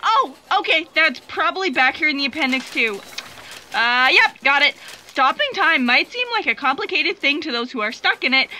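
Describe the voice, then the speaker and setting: An earnest, easily flustered female fact checker, very high and piercingly bright. She explains things in a bookish way for a comic sci-fi audio play. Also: exaggerated voice, poor audio. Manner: hesitant, then bookish and explanatory.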